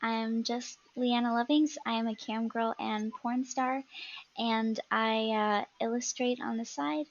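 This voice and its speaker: A soft-spoken woman answers as a podcast guest in a giggly, slightly hesitant way. Her voice sits a little high. The audio is dull and very dry. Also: level tone, unhurried, dry recording. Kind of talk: casual interview answers.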